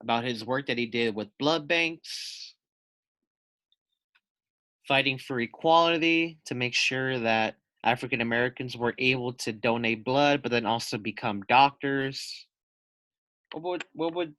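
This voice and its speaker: A man's voice: medium pitch, a little slow, pushed and bright, no echo, poor audio. A man giving a read-aloud lesson for young children as their teacher, explaining step by step, posing questions and repeating key points.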